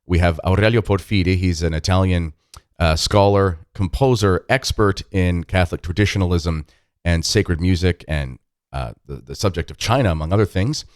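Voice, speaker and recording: A man's voice: low voice, moderate pace, dry recording, clean studio sound. A man hosting an interview podcast, talking in a friendly, rambling way with many fillers. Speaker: podcast host.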